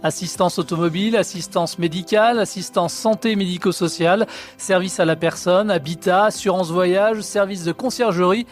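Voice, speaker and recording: High-voiced male podcast host over soft music. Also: moderate pace. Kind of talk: scripted intro and interview questions.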